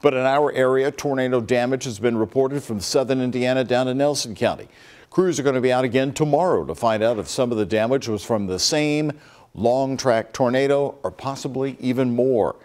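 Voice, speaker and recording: An older man reading the evening news from a studio desk in a steady, formal delivery. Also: medium pitch, brisk. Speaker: news anchor.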